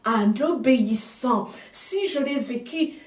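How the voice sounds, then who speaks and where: Woman preaching slow and emphatic, lively high voice, echoey room and a bit far off. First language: another language than French. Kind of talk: sermon. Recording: echoey room, speaker off mic.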